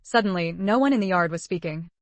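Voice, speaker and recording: A woman narrating a translated web novel in an even, measured storytelling voice. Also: lowish voice, no room sound. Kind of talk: scripted audiobook narration.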